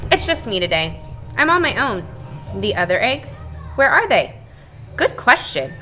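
A cheerful, chatty little egg fellow who enjoys his quiet time, voiced by a woman reading a storybook aloud. The voice sits high and bright, swinging up and down with lively storybook energy. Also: heavily acted. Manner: bouncy, expressive storytelling.

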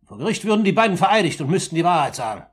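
An actor playing a composed older uncle in a 1950s German radio play, speaking formally and deliberately with firm, accusing emphasis in a husky voice. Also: male voice, high voice, big pitch swings, full character voice. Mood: firm, commanding.

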